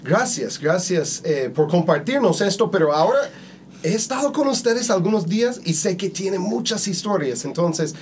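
A man hosting a conversational podcast interview, speaking haltingly and often repeating his words. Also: sits high, animated, slight background noise.

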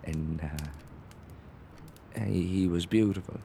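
An actor playing a drunk, grieving son who tells a halting fable that breaks into rage. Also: male voice, low voice, gravelly, dark tone, slight background noise, exaggerated voice. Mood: wistful. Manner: halting, rambling, then erupting in anger.

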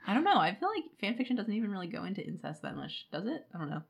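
A woman's voice: medium pitch, very animated, very fast, vocal fry, slightly rough. A woman co-hosting a casual book discussion, analysing characters in an informal, conversational way peppered with "like". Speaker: podcast co-host.

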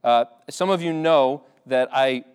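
Smooth-voiced pastor, relaxed and halting. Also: male voice, medium pitch. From Ireland. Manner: conversational, many restarts and fillers. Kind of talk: sermon. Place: church sanctuary.

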